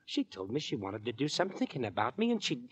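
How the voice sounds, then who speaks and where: Good-natured young suitor, folksy and hesitant, animated rough voice, old radio drama. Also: male voice, medium pitch, quick pace. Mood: relieved, eager. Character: bashful, earnest young working-class suitor, good-natured.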